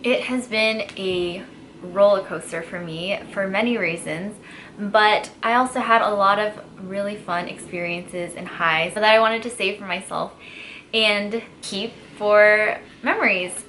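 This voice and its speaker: Upbeat young woman over loud music. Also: mid-range voice. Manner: upbeat, chatty and earnest. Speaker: vlogger. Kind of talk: reflective year-in-review vlog narration.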